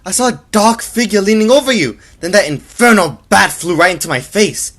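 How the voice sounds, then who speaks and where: A young man playing an anxious, loyal young gentleman, worried and hurried, in a radio drama. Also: sits high, animated, heavily acted. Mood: alarmed. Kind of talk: stage-style radio drama acting.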